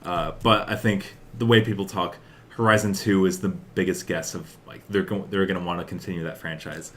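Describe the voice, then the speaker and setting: Young dude, really low voice, rambly casual podcast talk, slight noise behind him. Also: male voice, steady tone, fairly quick, fairly bright tone. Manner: rambling, peppered with "like" and "uh". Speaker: podcast host. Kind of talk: casual chat about video game news.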